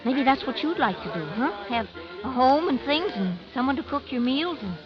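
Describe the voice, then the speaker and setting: A woman's voice: sits high, animated, normal speed. An actress playing a brash, loyal frontier saloon woman with folksy, blunt talk in old radio drama style. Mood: tender, hopeful. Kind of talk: 1940s radio acting. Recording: muffled old radio broadcast with music.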